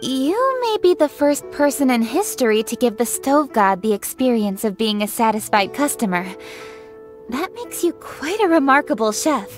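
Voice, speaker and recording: A woman's voice: high-pitched, big pitch swings, normal speed, faint music behind. A woman voicing a poised, courteous, businesslike young woman in a video game, with a formal, composed manner. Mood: impressed.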